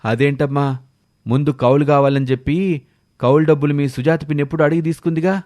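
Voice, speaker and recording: A man's voice: on the high side, fairly even intonation, a little fast, darkish tone, no room sound, clean studio sound, close to an everyday voice. A man voicing a kindly, blunt village uncle and farmer with a rustic, fatherly manner.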